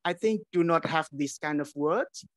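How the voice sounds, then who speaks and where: A man on a class video call sharing his opinion in casual second-language English, with some fillers and restarts. His voice is a little high and sounds dry and close to the mic. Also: normal speed, no room sound. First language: Indonesian. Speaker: class participant.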